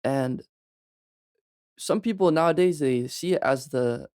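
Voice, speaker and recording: A man hosting a podcast, asking thoughtful, halting questions with fillers. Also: on the high side, darkish tone, clean tone, no echo, clean studio sound. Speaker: podcast host. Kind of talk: interview questions.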